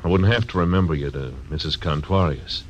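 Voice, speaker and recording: An actor playing a laconic, stubborn frontier marshal who narrates, in 1940s radio acting. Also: male voice, low voice, brisk, slight background noise, natural voice. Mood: steady. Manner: terse, dry. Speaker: narrator and lead. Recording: old radio recording with music.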